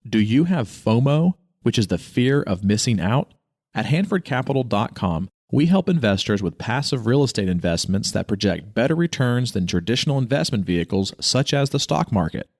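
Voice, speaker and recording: A man hosting a podcast, reading a scripted intro and sponsor message in a smooth announcer-like way, then asking the guest questions. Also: mid-range voice, sing-song, moderate pace, no echo, clean studio sound. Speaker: podcast host.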